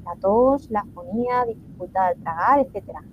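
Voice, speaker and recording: A woman's voice: mid-range voice, animated, a little fast. Moderator, woman, muffled lo-fi line, faint hiss. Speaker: conference moderator. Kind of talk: reading audience questions. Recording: dull lo-fi sound, faint noise.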